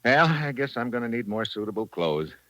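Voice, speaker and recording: An actor playing a wry, tough American secret agent in a radio drama, with a sardonic, laconic delivery. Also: male voice, mid-range voice, big pitch swings, fairly quick, sharp tone, no echo. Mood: dryly casual. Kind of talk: 1950s radio acting.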